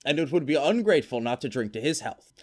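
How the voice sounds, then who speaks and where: A man's voice: mid-range voice, animated, fast talker, voice pushed up, lightly acted. A man voicing a jovial young man who speaks with a hearty, lilting tone. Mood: merry. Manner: lilting, toasting tone.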